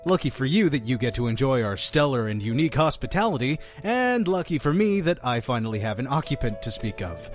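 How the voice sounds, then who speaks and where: An actor playing a chatty, pushy innkeeper of a mysterious inn in an audio drama, with an effusive, theatrical manner. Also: male voice, medium pitch, sing-song, fairly quick, hardly any pauses, faint music behind, lightly acted. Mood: cheery, welcoming. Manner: effusive, talking over his guest.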